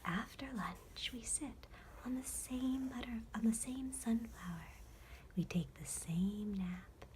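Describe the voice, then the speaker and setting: A woman voicing contented, routine-bound butterflies in a measured whisper for an ASMR bedtime storybook. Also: fairly high pitch, a little mellow, slight background noise, voice pushed up. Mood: placid, content. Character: contented, set-in-their-ways butterflies, gentle and routine-bound.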